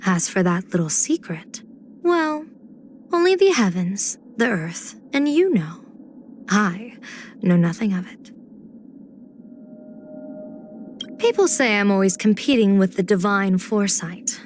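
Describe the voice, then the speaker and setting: A woman's voice: medium pitch, lively intonation, measured pace, slight background noise, lightly acted. A woman voicing a playful, confident general and diviner in a video game, with poised, theatrical, teasing phrasing. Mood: sly, assured. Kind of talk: video game character voice lines.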